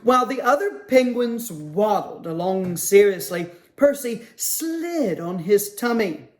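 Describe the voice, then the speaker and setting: A man reading a picture book aloud at a children's story time, with a gentle, expressive manner. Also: high-pitched, a little slow.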